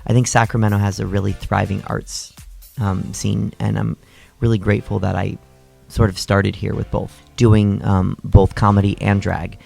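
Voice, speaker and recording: Gravelly low-voiced man, chatty podcast host. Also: level tone, normal speed, darkish tone, faint music behind. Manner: chatty, many fillers and restarts. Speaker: podcast co-host, comedian and drag performer. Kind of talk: casual chat.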